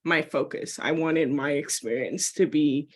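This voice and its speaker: A woman's voice: low voice, unhurried. A young woman, a college graduate, speaking as a webinar panelist over a video call, chatty and full of fillers, with light laughs. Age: young adult.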